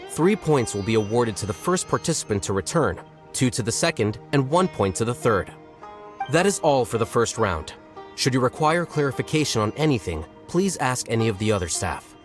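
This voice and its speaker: Guy playing all-business game announcer, formal, mid pitch but lively swing, bit of music behind. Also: normal speed, natural voice. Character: businesslike, stern male contest announcer. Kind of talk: video game character acting, announcement.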